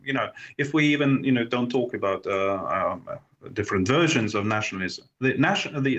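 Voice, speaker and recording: A man from Ukraine explains his view at a steady mid pitch, building each point with care. The sound is dull and lo-fi, as over a remote call. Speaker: guest. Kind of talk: conversational discussion.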